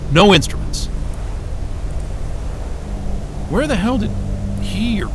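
An actor playing a wry, foul-mouthed, caring explorer in a naturalistic science fiction audio drama, talking casually with muttered hesitations. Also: male voice, high voice, big pitch swings, normal speed, slight background noise. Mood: awed.

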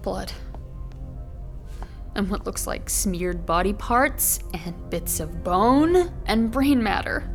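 A woman's voice: mid-range voice, lively intonation, slow pace, soft background music. An actress playing a sarcastic, frantic young woman trapped with her partner in a horror audio drama, biting and exasperated. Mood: horrified. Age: young adult. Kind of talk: modern horror radio drama acting.

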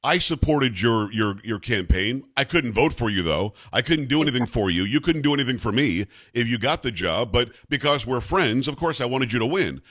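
A man's voice: medium pitch, expressive, moderate pace, few pauses, fairly bright tone. Conversational male talk show host. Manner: friendly, conversational, stumbling repeats. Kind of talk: casual interview.

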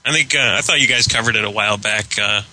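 A man with a lowish but very bright, sharp-edged voice chats casually as a guest. Noise sits behind him on the recording. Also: fast talker, low-quality recording. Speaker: podcast guest. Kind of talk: casual gaming chat. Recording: loud background noise on his microphone.

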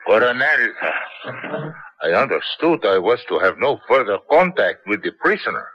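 An actor playing a loyal, fearful army captain, husky and earnest, in a 1970s radio drama. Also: male voice, lowish voice, sing-song, normal speed, slight background noise. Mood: wary, dutiful. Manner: earnest, emotional. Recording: dull vintage radio recording.

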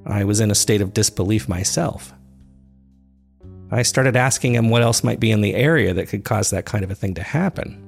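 A man reading scripted first-person dramatic narration in a measured storytelling manner. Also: lowish voice, gravelly, soft background music. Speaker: narrator of a serial fiction podcast.

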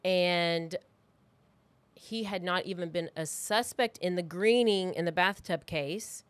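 A woman tells a true crime story in a casual, conversational podcast style. Her voice sits a little low for a woman. The sound is dry, and she talks informally as if working through notes with a co-host. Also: slow, no room sound.

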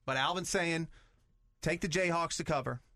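A man's voice: a little high, normal speed, sharp tone, no echo. A man hosting a sports podcast, talking in an easygoing, conversational way and steering the questions. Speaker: podcast host.

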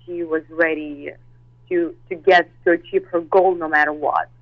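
A woman chats as an interview guest, pausing often and restarting her sentences. Her voice comes through a dull, lo-fi line, and the sound is dry with no room around it. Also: sits low, steady tone, no echo. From Russia. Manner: hesitant, many fillers and restarts, laughs easily. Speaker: actress and model guest. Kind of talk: interview chat.

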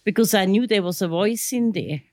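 A woman's voice: mid-range voice, normal speed, darkish tone, no room sound, studio quality. An older woman with a South African accent, reminiscing casually in a blunt, matter-of-fact way. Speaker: musician's mother, interview guest.

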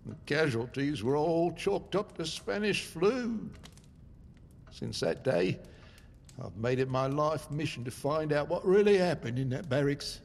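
An actor playing a very old, haunted survivor, telling his tale gravely and theatrically in an audio drama. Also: on the high side, lively intonation, measured pace, slight background noise. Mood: grave, resolved. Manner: grave, theatrical, emphatic storytelling. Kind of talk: dramatic audio fiction narration. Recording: music behind the voice.

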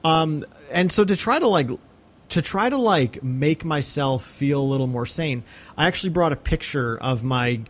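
A man with an American accent and a voice pitched a little higher than most men's. He talks as a podcast co-host in a casual film discussion, effusive yet reflective, halting and repeating phrases as he finds his point. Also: expressive.

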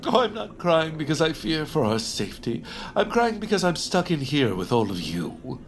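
A man's voice: a little high, very animated, moderate pace, slight background noise. A man playing a vain, melodramatic, whiny baron in a comic audio drama. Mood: aggrieved. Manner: pompous, whiny. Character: Baron von Torious, vain, melodramatic, grumbling male tycoon. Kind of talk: comic audio fiction acting.

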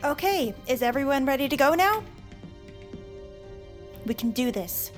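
A young woman playing a bossy, determined girl leader with a brisk, assertive manner in an audio drama. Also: high-pitched, animated, faint music behind. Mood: urgent, insistent. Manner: brisk, assertive, sure of herself. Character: bossy, determined teenage girl leading an escape.

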